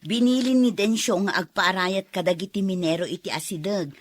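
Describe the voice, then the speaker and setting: A woman's voice: mid-range voice, a little fast, no echo, close to an everyday voice. A woman playing a matter-of-fact adult daughter from a village in a Filipino audio drama.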